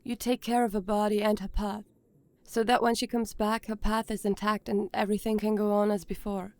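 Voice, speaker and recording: A woman acting in an audio drama as a guarded, sluggish young person, speaking earnestly and haltingly. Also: mid-range voice, flat delivery, a little mellow, no echo, close to an everyday voice.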